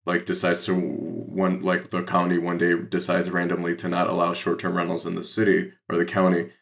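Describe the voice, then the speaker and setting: A man's voice: low-pitched, completely flat delivery, moderate pace, creaky, a bit bright. An American man speaking as a podcast guest, explaining things casually in a loose, rambling way full of filler words. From Detroit, Michigan, United States. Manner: rambling, heavy use of "like". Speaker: podcast guest, real estate investor.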